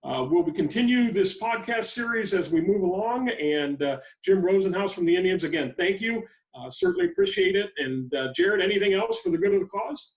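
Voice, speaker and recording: A man's voice: high-pitched, very animated, brisk, vocal fry, distant mic, low-quality recording. An American man hosting a podcast interview in a conversational way. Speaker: podcast host.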